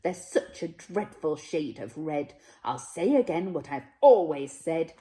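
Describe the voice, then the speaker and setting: An indignant old grandmother from another planet, put on by a woman reading a storybook. She scolds in a loud, dramatic, emphatic way, her pitch rising and falling widely. She sounds bossy and thoroughly disapproving. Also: animated, a little slow. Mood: indignant, scolding.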